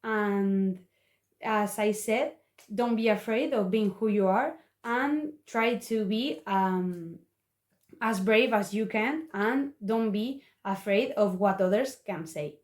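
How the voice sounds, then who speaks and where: A young woman with a Spanish accent, a native Spanish speaker, giving a prepared motivational talk in halting, earnest learner English. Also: medium pitch. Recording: echoey room.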